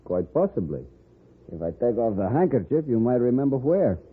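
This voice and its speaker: An actor playing an armed, coolly mocking bandit in a radio western. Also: male voice, mid-range voice, sing-song, normal speed, breathy edge. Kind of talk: 1950s radio western acting. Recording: old radio, narrow band, noisy.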